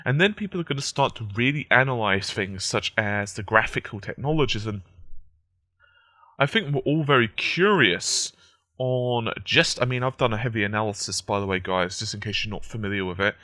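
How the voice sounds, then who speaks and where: Croaky man with a bright, forceful voice. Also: on the low side, moderate pace, no room sound. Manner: conversational, analytical. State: croaky, just woken up. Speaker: gaming news commentator. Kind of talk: casual tech news commentary.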